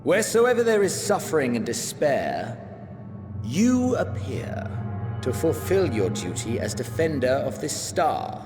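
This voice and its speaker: An actor playing a menacing, cultured prince villain obsessed with battle, speaking with grandiose, formal deliberation in a video game cutscene. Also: male voice, mid-range voice, wildly swooping pitch, a little slow, over music. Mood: brooding, intense.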